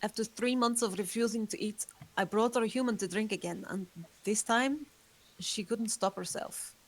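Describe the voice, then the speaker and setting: A woman's voice: medium pitch, normal speed, close to an everyday voice, poor audio. A woman reading the part of an ageless female vampire, guarded and remorseful, in a table read. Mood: somber.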